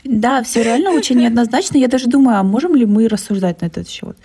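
A woman's voice: fairly high pitch, quick pace, mellow, slightly rough. A woman hosting a podcast, thinking aloud hesitantly in casual chat. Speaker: podcast host.

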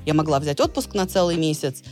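A woman talking as an interview guest, explaining patiently and telling lively stories with acted-out dialogue. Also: deep voice, brisk, soft background music. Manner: lively storyteller, acts out quoted dialogue; explains patiently with many small restarts. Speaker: guest, medical interpreter and former English teacher. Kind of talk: casual interview chat.

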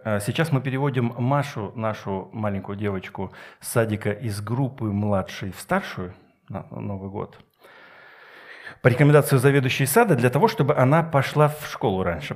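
A middle-aged man preaches a Sunday sermon in a mid-pitched voice. He speaks emphatically, leaving deliberate pauses and posing rhetorical questions to his listeners. His delivery mixes scripture with personal storytelling. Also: a little mellow. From Soviet Union.

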